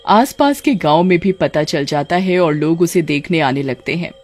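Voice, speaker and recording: A woman's voice: lowish voice, animated, normal speed, a little mellow, faint music behind. A woman narrating a scripted story.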